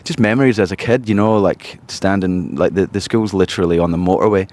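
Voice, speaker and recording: A man with a Glasgow Scottish accent, a podcast guest talking casually, often pausing and restarting his sentences. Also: sits low, darkish tone. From Scotland. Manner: hesitant, many restarts and fillers. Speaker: podcast guest, charity founder. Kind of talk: casual interview chat.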